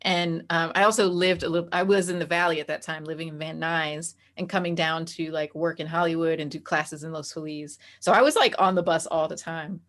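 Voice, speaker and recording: An American woman chatting casually as an interview guest, animated and anecdotal. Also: sits low, fairly quick, hardly any pauses. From New Jersey, United States. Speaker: interview guest, actor and game master. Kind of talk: casual chat.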